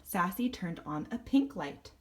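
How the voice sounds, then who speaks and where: A woman reading a children's story aloud in first person, expressively and deliberately, acting out the tale. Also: on the low side, animated. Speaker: storybook reader.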